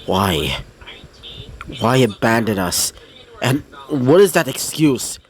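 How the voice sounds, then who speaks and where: A man voicing a brooding teenage boy who speaks in a low, sullen, sighing way. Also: very animated, slow pace. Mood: bitter. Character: Izuku, brooding teenage boy, bitter toward father.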